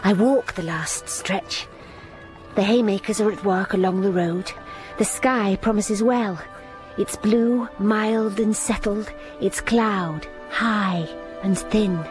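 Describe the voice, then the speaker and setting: An actress playing an earnest young governess who also narrates a radio drama, soft and restrained. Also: female voice, mid-range voice, slow pace, faint music behind, close to an everyday voice. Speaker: narrator and heroine. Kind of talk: radio drama acting and first-person narration.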